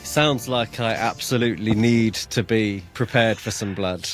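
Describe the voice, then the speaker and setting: Low-pitched man, casual book podcast host. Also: measured pace, faint music behind.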